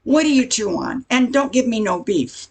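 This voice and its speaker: Actress for sassy diner waitress, radio comedy: tough-talking, raspy, gravelly, easily offended. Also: female voice, fairly high pitch, sing-song, normal speed, a little mellow, heavily acted. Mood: gruff. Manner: tough-talking wisecracks with slangy grammar. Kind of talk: radio comedy play acting.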